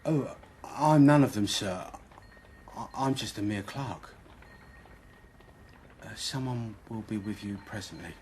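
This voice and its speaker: Nervous clerk over noisy ambience. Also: male voice, lowish voice, slow pace, pauses often, a little mellow. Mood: nervous, servile. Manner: hesitant, obsequious. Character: nervous, deferential male London merchant's clerk. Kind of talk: period radio drama acting.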